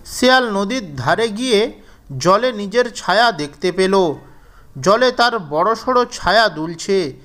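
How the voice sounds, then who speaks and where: A man narrating a scripted children's story in a measured, deliberate storybook manner. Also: sits high, lively intonation, fairly bright tone.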